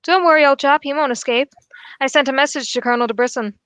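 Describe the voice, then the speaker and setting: Woman doing a shrewd gentleman detective, radio play, highish voice, formal and composed, dry sound. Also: no room sound. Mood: calm, grave. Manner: formal, measured, explanatory. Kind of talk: old radio drama acting.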